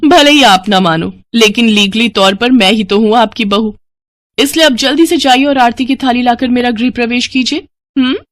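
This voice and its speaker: A woman's voice: a little high, moderate pace, no room sound, pitched above the performer's own voice, natural voice. A woman voicing a haughty, brazen modern young bride in a moral story, cheeky and defiant. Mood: defiant, smug.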